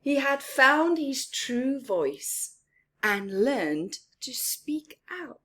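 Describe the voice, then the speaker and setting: A woman's voice: sits high, very animated, extremely slow, a bit bright, husky. A woman reading a story aloud to young children, expressively, with simple explanatory asides. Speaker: children's story-time reader.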